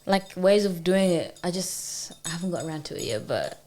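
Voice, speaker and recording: A woman's voice: on the low side, lively intonation, normal speed, mellow, slight background noise. Young woman, chatting casually. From Kenya, moved to Australia young. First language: Swahili. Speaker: music artist guest. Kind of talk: casual chat.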